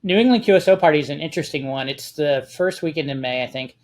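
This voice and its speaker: A man's voice: fairly high pitch, fast talker, darkish tone. American man explaining in casual conversation. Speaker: amateur radio contest guest expert.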